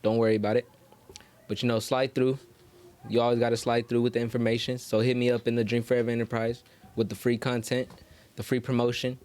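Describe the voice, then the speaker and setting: A man's voice: mid-range voice, level tone, fast, darkish tone. A man chatting casually as a rapper guest on a podcast, slangy, swearing freely and promoting himself.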